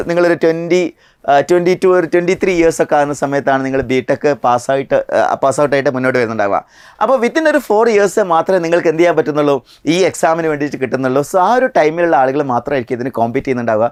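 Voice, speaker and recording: A Malayali man with a slightly high voice gives an instructional talk in the manner of a coaching teacher. He explains step by step and puts questions to his viewers. The sound is fairly dry, with little room echo. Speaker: exam coaching instructor and channel presenter. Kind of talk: explanatory video lesson on an exam.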